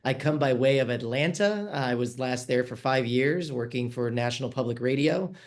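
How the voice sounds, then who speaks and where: A man from New York moderating a virtual panel, friendly and a little halting, with frequent fillers. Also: medium pitch. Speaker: panel moderator, managing editor. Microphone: video call.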